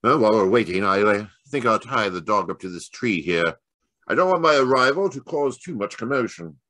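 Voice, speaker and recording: A man playing a genial, shrewd gentleman detective in a radio mystery, with courtly, wordy, slightly hesitant phrasing. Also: fairly low pitch, expressive, fairly quick, dry recording. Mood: wry, reserved. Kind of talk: old-time radio mystery acting.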